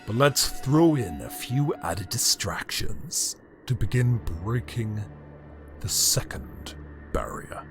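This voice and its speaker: A man playing a bitter, megalomaniac ancient emperor without a body, delivering a grandiose, theatrical monologue with dramatic pauses in an audio drama. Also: medium pitch, big pitch swings, music in the background. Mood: scheming, menacing. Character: bitter, megalomaniac male emperor, ancient disembodied time-travelling being.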